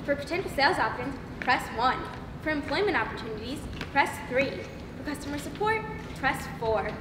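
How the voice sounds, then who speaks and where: A woman's voice: sits high, animated, brisk. A woman playing a chirpy automated phone menu in a stage comedy, with a scripted customer-service manner. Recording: echoey hall, far mic, clear noise.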